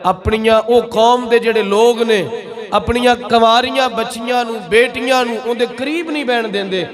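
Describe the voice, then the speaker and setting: High-pitched Punjabi preacher, man with crowd behind. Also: a little slow. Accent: Pakistani Punjabi. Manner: emphatic, rhetorical repetition and questions. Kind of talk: sermon.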